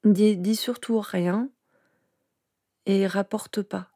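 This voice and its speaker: Husky, gravelly woman speaking softly. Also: medium pitch, fairly even intonation, very slow, dry recording, clean studio sound. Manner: hesitant, soft-spoken. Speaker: interviewee. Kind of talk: personal testimony.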